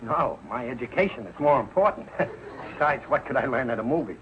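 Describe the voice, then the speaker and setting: A man's voice: medium pitch, lively intonation, brisk, natural voice. Man acting a bumbling sitcom dad, muffled old recording. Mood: feigned innocence. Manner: blustering, sheepish, ungrammatical. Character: bumbling, evasive, put-upon working-class husband and father. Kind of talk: 1940s television sitcom acting. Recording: muffled, noisy old 1940s TV soundtrack.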